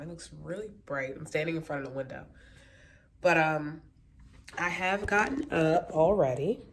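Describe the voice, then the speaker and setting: A woman in her late twenties, talking low with a gravelly edge and a congested, stuffy nose. She rambles casually to her phone camera from a hotel room, full of ums and likes. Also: a little hiss or hum. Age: late 20s. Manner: relaxed, rambling, lots of fillers. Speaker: vlogger. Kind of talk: casual vlog chat to camera.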